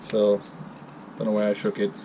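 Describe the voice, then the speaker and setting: A man's voice: fairly low pitch, completely flat delivery, normal speed, dark tone, slight background noise, low-quality recording. A man from Jamaica with a Jamaican accent, casually talking through a drink recipe in a rambling, self-correcting way. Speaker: home cocktail vlogger. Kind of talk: casual step-by-step recipe demo.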